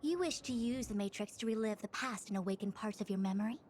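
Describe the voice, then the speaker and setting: A woman's voice: medium pitch, fast, a little mellow, soft background music, natural voice. A woman playing a learned, exacting diviner in a video game, speaking formally and precisely in long explanations. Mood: lecturing. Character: learned, exacting female diviner, long-winded and self-assured. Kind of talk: scripted video game character dialogue.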